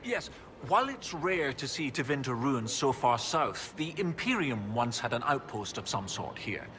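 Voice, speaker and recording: An actor playing an enthusiastic research professor in a video game, explaining things in a pedantic, eager way. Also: male voice, mid-range voice, very animated, normal speed, bright, forceful tone, slight background noise. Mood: absorbed, explanatory. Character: enthusiastic, bookish male research professor and archaeologist. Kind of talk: video game dialogue acting.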